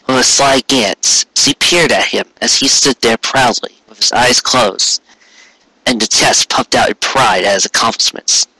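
A man's voice: mid-range voice, measured pace, bright, forceful tone, breathy edge, dry recording, low-quality recording. A man narrating a story expressively, savoring the sentences and now and then stumbling on a word. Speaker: fan fiction reader.

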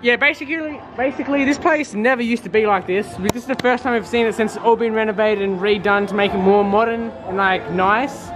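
A young man vlogging on location in an arcade, hyped and slangy. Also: very high-pitched. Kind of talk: on-location vlog intro. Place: indoor arcade. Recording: loud arcade crowd and music. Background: music and crowd.

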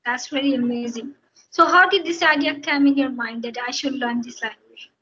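High bright Indian woman, video call. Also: moderate pace, husky. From Bihar, India. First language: Hindi. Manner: steady, explanatory, repeats phrases while thinking. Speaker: guest, English teacher. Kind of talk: casual interview on a video call. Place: small room indoors. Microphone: headphones on a video call. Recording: dull lo-fi video call audio with faint noise.

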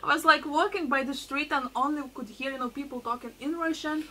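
A woman's voice: sits high, a little fast, pushed and bright, poor audio. A young woman from Lithuania with a Lithuanian accent, chatting on a video call and giggling often. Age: young adult. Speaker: host. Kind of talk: casual chat. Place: room full of plants. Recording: video call with audible background hiss.

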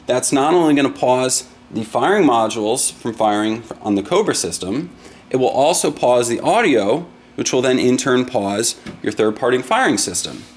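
A man presents a product demonstration in a medium-pitched voice. He talks in an explanatory way, laying out each point step by step, as a demonstrator would. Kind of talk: semi-scripted product demonstration.

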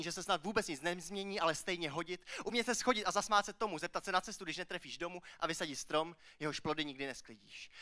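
High, bright-voiced man performing slam poetry. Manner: declamatory, emphatic, with rises and hushed line endings. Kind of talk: slam poetry recital, rhythmic and rhymed. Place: on stage at a slam poetry final.

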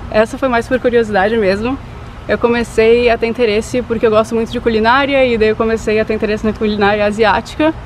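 A woman of about eighteen, chatting casually outdoors in a grassy field and pausing to think as she talks. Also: fairly high pitch, a little hiss or hum. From Brazil. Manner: relaxed, thinks aloud with pauses. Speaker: vlog co-host. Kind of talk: casual chat. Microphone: camera mic at arm's length.

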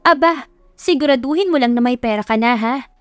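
A woman's voice: high voice, brisk, a bit bright, no room sound, soft background music. Woman acting brash, feisty young eatery worker. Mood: brash, teasing. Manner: brash, teasing, accusing.

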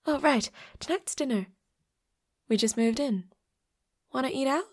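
A woman's voice: sits high, a little slow, a little mellow, heavily acted. A woman voicing a flirty, playfully predatory vampire roommate in an intimate audio roleplay, with a coy, teasing manner. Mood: friendly, playful. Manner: coy, giggly, teasing, drops into breathy whispers.

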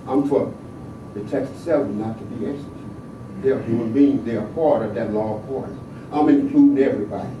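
An older man from the American South speaking at a podium microphone, swinging between quiet reflection and loud, emphatic exhortation. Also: mid-range voice, recorded from a distance, a little hiss or hum. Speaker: panel speaker. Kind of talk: impassioned activist speech. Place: large hall in a state capitol. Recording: echoey hall, heard from afar.

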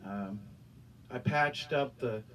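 A man's voice: medium pitch, slow, a bit bright, distant mic, a little hiss or hum, poor audio. A middle-aged man preaching and praying as pastor in a small church, earnest, often repeating his words, speaking into a handheld microphone. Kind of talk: sermon and prayer. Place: small church stage with curtains.

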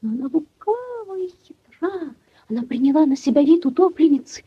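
An actress playing a sorrowful young drowned water spirit in a breathy, plaintive 1940s Soviet radio style. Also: female voice, high voice, very animated, moderate pace, dry recording. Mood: anguished, pleading. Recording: dull 1940s radio recording, faint music.

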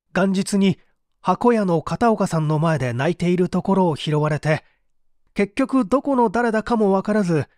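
Radio drama murder suspect , played by a man: embittered male painter, thirties, self-justifying, widely varied pitch, dry close recording. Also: a little mellow, dry recording. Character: bitter, defensive orphaned male painter in his thirties, suspected. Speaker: voice actor reading a radio drama. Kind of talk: dramatized mystery reading.

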